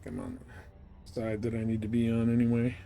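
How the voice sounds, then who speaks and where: Male game streamer, casual and rambling, muttering commentary, mid pitch, faint background noise. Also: monotone, moderate pace, mellow. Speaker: game livestreamer. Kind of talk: casual live gameplay commentary. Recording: clearly noisy background.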